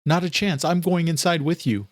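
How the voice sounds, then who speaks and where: A man's voice: a little high, moderate pace, no room sound, studio quality, pitched above the performer's own voice, close to an everyday voice. A man voicing a determined, strong-willed woman in an audiobook thriller. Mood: defiant. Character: defiant, determined adult sister, a schoolteacher.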